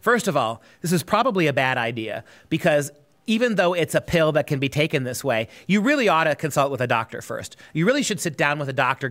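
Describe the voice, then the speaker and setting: A man's voice: on the high side, fairly bright tone. A man hosting a talk show, sarcastic and emphatic. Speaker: host.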